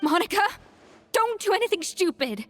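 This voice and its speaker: A woman playing a proud, brisk, exacting young female chef in a video game. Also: very high-pitched, big pitch swings, a bit bright, soft background music, full character voice. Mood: alarmed, indignant.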